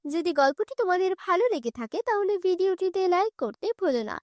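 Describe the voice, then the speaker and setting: A woman's voice: very high-pitched, expressive, fairly quick, no room sound, studio quality. A woman narrating a children's cartoon story and speaking directly to young viewers in a friendly way.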